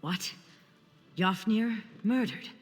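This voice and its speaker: High-voiced, grave fantasy guildsman. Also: expressive, takes it slowly, slightly rough, exaggerated voice. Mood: troubled, solemn. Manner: formal, solemn, dramatic. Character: grave, formal male guild comrade, urgent and solemn. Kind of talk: fantasy video game acting. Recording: long reverb with faint music.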